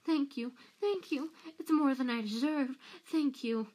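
Woman putting on a high, whining, cowering man. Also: a little slow, a little mellow. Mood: gasping, grateful. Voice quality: whining, gasping. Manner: wheedling, tearful, stammering pleas. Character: cowering, pleading, terrified middle-aged man, whining traitor.

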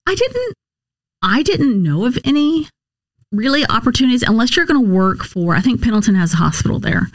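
A woman's voice: on the high side, sing-song, a little fast, no room sound. An American woman answering interview questions conversationally, in a candid, explanatory way with emphatic repetition. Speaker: interview guest, nurse case manager and former Marine officer.

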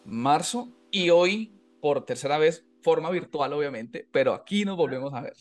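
A man's voice: on the high side, animated, normal speed, faint music behind. A man hosting a podcast by video call, upbeat and thankful, often repeating his phrases. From Colombia. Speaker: podcast host. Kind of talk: casual interview chat. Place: home setup in a US city.

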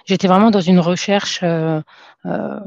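A woman's voice: on the low side, fairly even intonation, measured pace, gravelly, darkish tone, no echo. A woman in her thirties from France, a podcast guest talking casually and hesitantly with many fillers and restarts. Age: 30s. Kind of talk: casual conversational interview. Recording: dull, dry lo-fi sound.

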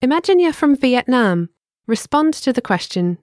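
A woman narrating a scripted language lesson, giving clear, measured instructions. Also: high voice, big pitch swings, a little mellow, dry recording, clean studio sound.

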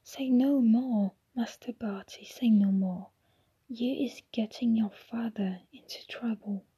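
A loyal, panicking house-elf girl pleads in a breathy whisper with broken grammar, voiced by a woman reading aloud. The voice sounds husky and mellow. The recording is dull and lo-fi. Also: fairly high pitch, slow pace, full character voice. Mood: pleading. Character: frantic female house-elf servant, loyal, tearful.

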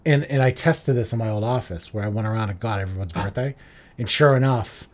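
A man's voice: on the low side, brisk, slight background noise. A man co-hosting a podcast in casual chat, explaining things with lots of "like" fillers. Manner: chatty, explains with many "like" fillers. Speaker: podcast co-host.

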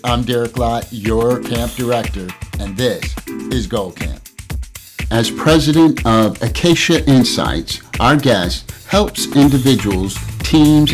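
Upbeat man hosting a goal-setting show. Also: lowish voice. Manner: energetic, motivational. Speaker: podcast host.

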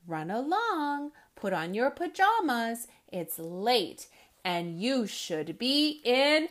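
A woman voicing a kind mother who gently questions her son and sends him to bed. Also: on the high side, big pitch swings, slow pace. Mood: gentle.

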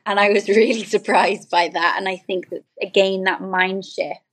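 A woman's voice: medium pitch, fairly even intonation, normal speed, fairly bright tone. A British woman speaking as a podcast guest in a conversational, reflective way, telling stories with acted-out quotes. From United Kingdom. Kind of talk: conversational interview.

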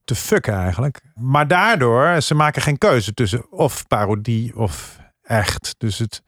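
A man's voice: medium pitch, expressive, measured pace, fairly bright tone, no room sound, clean studio sound. An older man in a casual panel discussion, giving firm opinions and repeating phrases for emphasis. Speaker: podcast panel critic.

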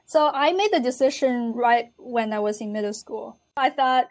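A young adult woman from China, a physicist and artist, speaking in a measured, thoughtful way in a conversational interview. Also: a little high.

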